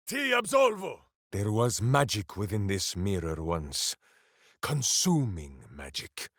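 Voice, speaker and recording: An actor playing a boisterous, simple-hearted heroic warrior in a video game, bombastic and speaking of himself in the third person. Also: male voice, a little high, fairly bright tone, no room sound, clean studio sound, heavily acted. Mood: hearty, delighted, then grave, subdued. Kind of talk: video game character voice lines.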